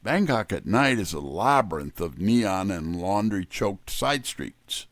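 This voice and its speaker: A man's voice: low-pitched, expressive, a little slow, fairly bright tone, no echo. A man giving a scripted, wry first-person hardboiled detective narration.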